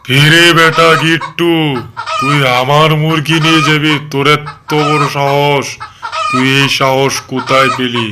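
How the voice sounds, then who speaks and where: A man voicing a gruff, menacing tiger who guards his hen in a cartoon. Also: a little high, little pitch movement, measured pace, very noisy, lightly acted. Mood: furious. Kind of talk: animated storybook cartoon dialogue.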